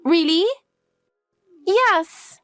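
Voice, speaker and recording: A woman's voice: extremely high pitch, animated, extremely slow, fairly bright tone, dry recording, clean studio sound, faint music behind, pitched above the performer's own voice, heavily acted. A woman voicing an anxious, clingy young girl who pleads and asks question after question in a dramatized story. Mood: hopeful.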